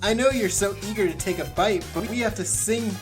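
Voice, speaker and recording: A playful cartoon hedgehog, acted out by a woman in a fan fiction reading. He teases in a pitch raised well up from normal talk. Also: a little fast, soft background music, natural voice. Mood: teasing. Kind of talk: fan fiction read-aloud.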